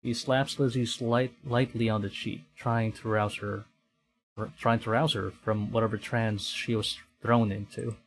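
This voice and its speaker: A man's voice: on the low side, level tone, a little slow, rough recording. A man streaming a horror visual novel, reading it aloud with live reactions and acting out the dialogue dramatically.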